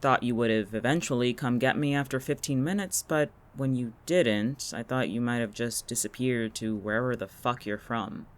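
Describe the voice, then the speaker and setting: A man's voice: mid-range voice, normal speed, few pauses, close to an everyday voice. A man playing a smug, callous boss in an audio drama, teasing in a sardonic, nonchalant way. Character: smug, callous, teasing male boss who keeps monsters.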